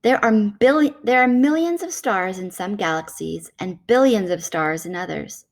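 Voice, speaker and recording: Female read-aloud narrator, medium pitch, measured explanatory delivery, children's nonfiction reading. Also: animated.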